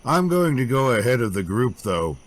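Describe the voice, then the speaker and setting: An actor playing a gruff, self-reliant woodsman with a folksy, sardonic, grudging way of talking in a fantasy audio drama. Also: medium pitch, sing-song, normal speed.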